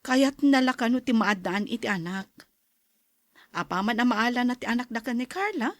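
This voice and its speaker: An actress as an earnest confidante in a radio drama, counselling in a fairly high voice. Her intonation is lively and varied, and the sound is very dry. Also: normal speed, no room sound, natural voice. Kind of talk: radio-style drama acting.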